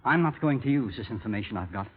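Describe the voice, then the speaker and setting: A scornful blackmailer from 1930s radio drama, on a dull, lo-fi recording. His husky voice swings widely in pitch as he taunts and threatens with theatrical deliberation. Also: animated, fast, soft background music. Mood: cold, bitter. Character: bitter, menacing male blackmailer, coldly calculating and scornful.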